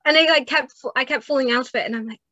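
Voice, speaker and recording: A young adult woman tells a quick anecdote with fast, excited chatter. Her voice is high and bright. The lo-fi mic makes it sound dull and dry. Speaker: podcast co-host. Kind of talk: casual anecdote.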